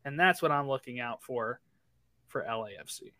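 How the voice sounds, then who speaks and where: A man's voice: medium pitch, moderate pace, a crowd in the background. A man co-hosting a soccer podcast, chatting casually and rattling off the weekend's match schedule. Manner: rattles off fixture lists, chatty. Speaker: podcast co-host. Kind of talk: casual sports chat.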